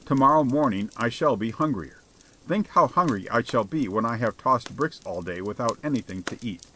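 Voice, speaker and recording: Audiobook role: male reader as meek, hungry, quietly cheeky beggar, gentle manner, medium pitch. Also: lively intonation, moderate pace, a little hiss or hum, poor audio. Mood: gently pleading. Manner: gentle, humble, politely cheeky. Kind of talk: dramatized audiobook character.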